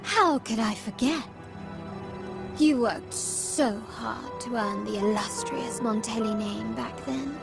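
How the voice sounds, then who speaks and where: An actress playing a taunting doppelganger in a video game, with a theatrical, teasing, mocking manner. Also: female voice, a little high, slow pace, gravelly, over music. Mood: mocking, seductive. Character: taunting female doppelganger born of a noblewoman's memories.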